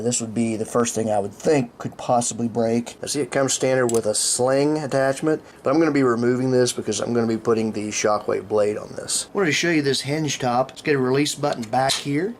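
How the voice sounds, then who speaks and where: Relaxed American man explaining gear. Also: mid-range voice, a little hiss or hum. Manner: relaxed, conversational, explaining as he handles the gun. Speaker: gun reviewer. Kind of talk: casual product review. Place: indoors at a table.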